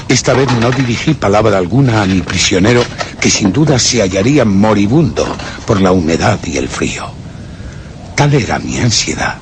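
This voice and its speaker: A man with a Castilian accent playing a haunted, obsessive gentleman who narrates a horror radio play in a grave, suspenseful, theatrical way. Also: sits low, slight background noise. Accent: Castilian Spanish. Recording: dramatic music behind the voice.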